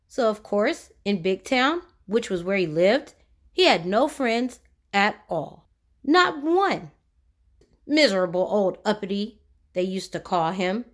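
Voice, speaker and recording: Very animated woman storyteller. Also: a little high. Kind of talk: expressive children's story read-aloud.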